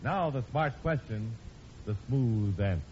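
A man announcing a vintage American radio show and delivering its sponsor pitch. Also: expressive, unhurried, darkish tone, a little hiss or hum. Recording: muffled old radio recording.

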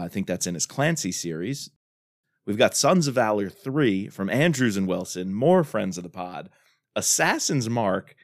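A man co-hosting a thriller book podcast, joking with the guest and briskly running through upcoming episodes. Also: fairly low pitch, no room sound, studio quality. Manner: upbeat, joking, runs through lists. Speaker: podcast co-host. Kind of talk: casual podcast chat.

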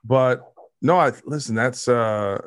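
Casting: male podcast host, mid-pitched everyday voice, curious and loosely stammering. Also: takes it slowly, smooth. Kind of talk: casual interview chat.

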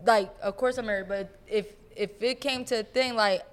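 A woman's voice: medium pitch, steady tone, normal speed, smooth. A woman hosting a podcast, giving blunt, slangy opinions in casual chat. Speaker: podcast host.

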